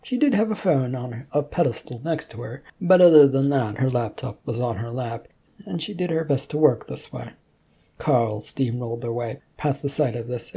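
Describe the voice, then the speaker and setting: A woman's voice: very deep voice, warm tone, breathy edge. A woman narrating a scripted story as its storyteller.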